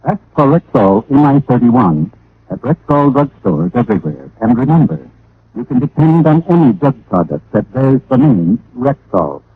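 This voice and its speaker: A man's voice: mid-range voice, very animated, normal speed, slightly rough, no echo. A man reading a scripted mid-century radio commercial as an announcer, on a muffled old broadcast. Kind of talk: scripted 1950s radio advertising.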